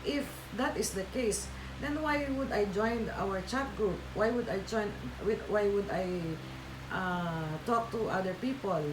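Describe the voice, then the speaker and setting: A woman's voice: medium pitch, a little slow, echoey room, noisy background. A Filipina woman with a Filipino accent, a Tagalog speaker, hosting a casual livestream and chatting with viewers with many fillers and restarts. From Philippines. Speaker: livestream host.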